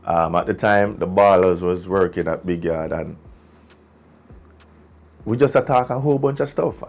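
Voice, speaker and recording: A Jamaican man, a musician, telling a story casually in an animated way, with patois phrasing. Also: low-pitched, normal speed, soft background music. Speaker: musician, singer in a group.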